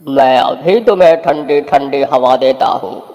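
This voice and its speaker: A man's voice: medium pitch, a little slow, dark tone, big-room sound, lo-fi sound, faint music behind, lightly acted. A man voicing a kindly, cheerful magical talking appliance in a children's cartoon, reassuring and eager to help. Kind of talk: Hindi children's cartoon story voice acting.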